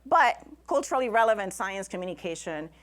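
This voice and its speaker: A woman's voice: medium pitch, expressive, fairly quick, a bit bright. A woman from Rico, a Spanish speaker, giving a live public presentation in an emphatic way, often listing in short phrases. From Puerto Rico. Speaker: invited speaker, science communicator.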